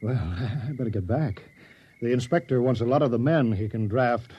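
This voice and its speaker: Guy doing bumbly nice mayor, stammery n flustered, raspy, dull old radio sound. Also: medium pitch, moderate pace, natural voice. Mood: earnest, reassuring. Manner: flustered, stammering, repeating himself. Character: bumbling, amiable small-town mayor made a mock general. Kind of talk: old-time radio drama acting. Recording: muffled old radio recording.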